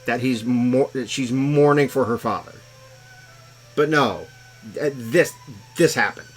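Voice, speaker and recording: A man's voice: medium pitch, a little slow, faint music behind. A man giving casual, wry running commentary as host of a reaction show. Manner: dry, wry, sarcastic.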